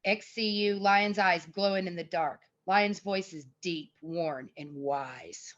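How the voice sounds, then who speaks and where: A woman's voice: lowish voice, slow pace, highs cut off. A woman reading scripted screenplay narration and stage directions.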